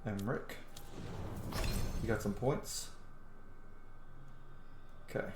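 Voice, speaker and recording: A man's voice: fairly low pitch, slow, music in the background. A man doing casual let's play commentary, informal and sweary, thinking aloud as he plays. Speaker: gaming video host. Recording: echoey room with faint background noise.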